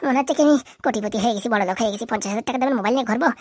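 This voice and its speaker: Woman as boastful gamer-boy thief, cartoon comedy, high pitch, very fast, brash, slangy. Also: no echo, studio quality, natural voice. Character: cocky young male thief, lazy gamer boy, boastful.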